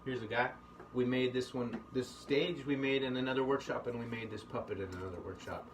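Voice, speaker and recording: A middle-aged man explaining puppet storytelling in a relaxed, thinking-aloud way. His voice sits a little away from the mic, with a faint hiss behind it. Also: mid-range voice, brisk. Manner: easygoing, thinks aloud with fillers. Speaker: puppetry workshop host. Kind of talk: casual instructional talk. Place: home workshop room. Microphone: camera mic at a distance. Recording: slightly distant, faint hiss.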